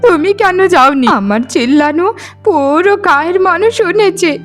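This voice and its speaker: A woman's voice: very high-pitched, animated, normal speed, faint music behind. A woman voicing a frail, trusting elderly mother-in-law in a melodramatic cartoon, with a plaintive delivery. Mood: hurt, accusing. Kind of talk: melodramatic cartoon moral-story voice acting.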